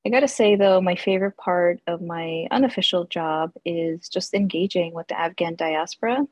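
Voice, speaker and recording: Friendly young woman guest, lowish voice. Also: normal speed, dry recording, dull audio. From United States. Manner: friendly, many ums and uhs, laughs. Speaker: guest, English instructor and food writer. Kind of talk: casual interview.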